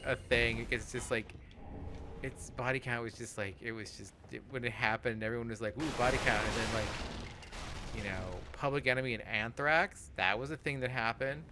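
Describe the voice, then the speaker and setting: A man of about forty chatting casually over gameplay, rambling and halting, with plenty of swearing. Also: medium pitch, background noise. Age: around 40. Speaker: host of a gaming let's play podcast.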